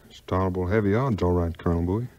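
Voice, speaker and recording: A man acting a calm, folksy frontier hero with a Southern drawl in a 1950s record audio play. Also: deep voice, highs cut off, natural voice. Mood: calm, friendly. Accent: American Southern frontier drawl. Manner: unhurried, plainspoken, homespun.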